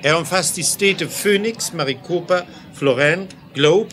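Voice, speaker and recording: Sober, bright-voiced railroad aide. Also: male voice, fairly high pitch, a little slow. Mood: explanatory. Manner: precise, factual, explanatory. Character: sober, matter-of-fact German railroad aide, unflappable. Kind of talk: 1970s German radio play acting.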